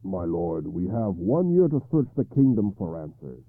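A loyal young knight speaking with calm confidence, steady and reassuring, as a storyteller voices him. The voice is slightly husky, and the recording is narrow and phone-like. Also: male voice, sits low, moderate pace, no echo, full character voice. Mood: confident.

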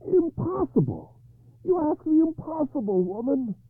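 A man's voice: sits high, big pitch swings, a little slow, husky, no room sound, pitched above the performer's own voice, heavily acted. A man voicing an anxious, proud medieval king who stammers and pleads. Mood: frantic. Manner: pleading, rising to frantic. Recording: dry, phone-like narrow sound.

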